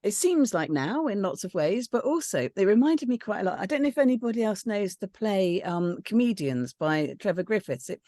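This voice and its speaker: A woman's voice: medium pitch, big pitch swings, normal speed, hardly any pauses, warm tone, dry recording. A woman talks casually as a panellist giving feedback. She is tentative and often circles back over a phrase. The sound is close and dry, with no audible room. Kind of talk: casual discussion.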